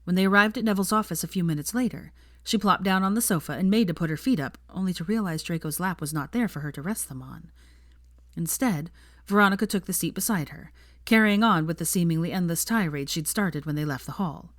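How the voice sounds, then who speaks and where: A woman's voice: lowish voice, a little fast, dry recording, clean studio sound. A woman narrating fiction from a script as an audiobook reader.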